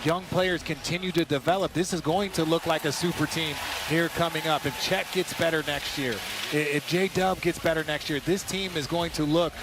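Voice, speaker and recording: A man's voice: a little high, steady tone, normal speed. A man giving colloquial, opinionated color analysis during a live basketball broadcast. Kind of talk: conversational live sports analysis. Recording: very noisy arena broadcast.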